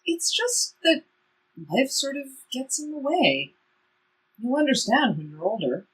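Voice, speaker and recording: A woman's voice: sits high, very animated, unhurried, a bit bright, a little hiss or hum, exaggerated voice, rough recording. A woman playing a very old, polite and wistful woman in a stage play reading.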